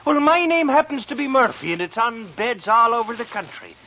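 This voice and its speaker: Brash Irish male policeman, swooping animated delivery, sharp tone, touchy temper, vintage 1940s radio drama sound. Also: very high voice, fairly quick, exaggerated voice. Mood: indignant. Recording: dull, noisy 1940s radio recording.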